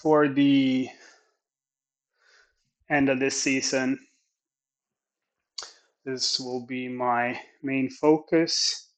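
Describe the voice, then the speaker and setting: A man whose first language is Swedish talks in English through his workout. His delivery is unhurried and thoughtful, with many pauses and restarts. His pitch stays flat and even. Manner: slow, thoughtful, halting phrasing. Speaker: athlete training on camera. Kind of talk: reflective training vlog narration.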